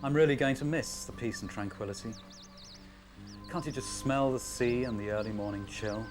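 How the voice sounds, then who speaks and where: A man plays a genteel village chief in an amateur audio drama, courteous and wistful, with old-fashioned turns of phrase. His voice sits in a middle range, with music clearly underneath. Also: mid-range voice, normal speed, close to an everyday voice. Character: genteel male village chief, nostalgic and smooth.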